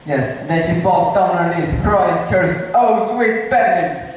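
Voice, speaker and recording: A man's voice: high-pitched, a little slow. A man in an amateur school play, playing a conspiring, gossiping friend and stumbling over his lines. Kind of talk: amateur school stage acting. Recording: distant mic in echoey hall, noisy.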